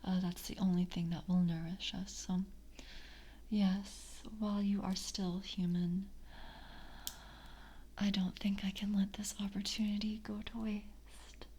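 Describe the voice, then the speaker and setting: A woman acts out a courteous, hungry vampire of the coven in an ASMR roleplay. She speaks in a hushed, airy whisper with a soft, dark tone. Her delivery is slow, deliberate and formal, with a seductive intimacy. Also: medium pitch, level tone. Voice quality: breathy, mostly whispered.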